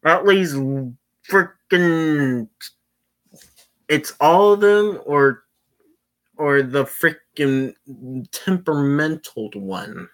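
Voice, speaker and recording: A game streamer giving live commentary on a visual novel, exclamatory and sweary, halting and often repeating phrases. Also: mid-range voice. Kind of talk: live visual novel playthrough commentary, reading lines aloud.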